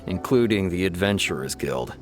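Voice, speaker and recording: A man's voice: low voice, lively intonation, normal speed. A man playing a dutiful city police officer in an anime video game, explaining in a firm, official, self-assured manner. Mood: knowing. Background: music.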